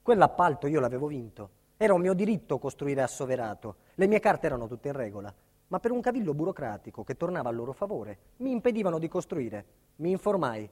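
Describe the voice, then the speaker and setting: A man's voice: fairly high pitch, very animated, quick pace, darkish tone. A man playing a defiant, indignant building contractor, delivering an emphatic theatrical monologue about his ordeal. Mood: earnest recounting. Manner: emphatic, dramatic storytelling. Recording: reverberant hall with long echo.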